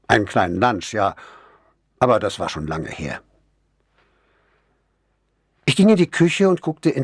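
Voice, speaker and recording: A man narrating a crime novel in the first person, reading in a measured, expressive literary manner. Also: on the low side, gravelly, breathy edge, dry recording, studio quality. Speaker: audiobook narrator.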